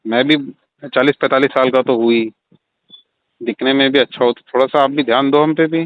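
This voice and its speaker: Over a tinny phone line comes a middle-aged landlord played by a man, mid-pitched and insinuating. He circles his point with hesitant repetitions in a phone-call drama. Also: steady tone, a little fast, dry recording, natural voice. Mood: coaxing, suggestive. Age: 40s.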